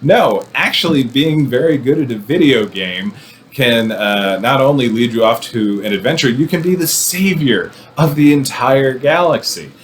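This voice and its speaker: A man giving a casual, rambling movie review with wry, animated asides. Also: medium pitch, moderate pace, a little hiss or hum. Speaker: film commentator.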